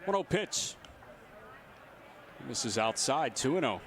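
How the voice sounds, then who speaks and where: A man's voice: mid-range voice, sing-song, slow pace, fairly bright tone, slight background noise. A man doing live radio play-by-play commentary of a baseball game. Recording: outdoor stadium ambience behind voice. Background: ballpark ambience.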